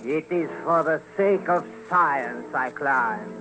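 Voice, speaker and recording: Slow-speaking, wry Frenchman. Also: on the high side, music in the background, low-quality recording. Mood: patient, indulgent. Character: patient, wry French brother-in-law climbing mountains. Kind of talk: radio drama acting.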